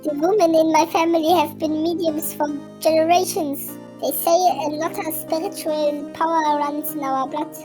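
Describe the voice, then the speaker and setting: Forlorn young female medium amateur performance, very high voice, earnest and plaintive, comedy game dub with clear music bed. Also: fairly even intonation, normal speed, few pauses, close to an everyday voice.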